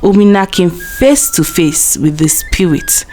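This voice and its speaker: A woman's voice: on the low side, lively intonation, measured pace, dark tone, a little hiss or hum. A woman storyteller narrating a scripted folktale in a dramatic storybook delivery.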